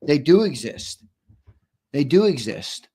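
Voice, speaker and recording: Hoarse, argumentative man hosting sports talk. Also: fairly high pitch, sing-song, slow, warm tone. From United States. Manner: argumentative, emphatic, repeats himself for stress. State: losing his voice, hoarse. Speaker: sports show host reading viewer comments. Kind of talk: live-stream sports talk.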